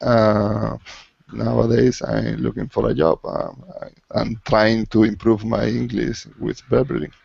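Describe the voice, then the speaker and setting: A man's voice: fairly low pitch, flat delivery, measured pace, creaky, darkish tone, breathy edge. A man with a Spanish accent, a native Spanish speaker learning English, who speaks hesitantly and searches for words. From Almería, Spain. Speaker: English student. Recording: dull online call audio.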